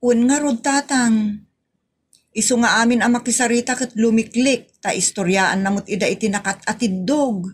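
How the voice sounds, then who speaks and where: Woman acting plaintive talkative woman, Ilocano accent, high husky voice, comedy radio play, drawn-out explaining. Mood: sympathetic, explaining. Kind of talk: Ilocano comedy audio drama dialogue.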